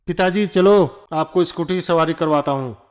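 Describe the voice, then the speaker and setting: A grown son from a cartoon moral story, played by a man. He is sure of himself and impatient, with a high-pitched voice for a man. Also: moderate pace, close to an everyday voice. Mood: cheerful, boastful. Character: grown son, scolding, impatient, self-assured.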